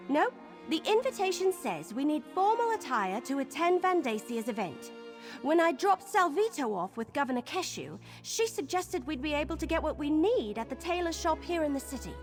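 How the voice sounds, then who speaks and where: An actress playing a brisk, determined adventurer companion in a video game, purposeful and friendly as she gives directions. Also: sits high, very animated, soft background music.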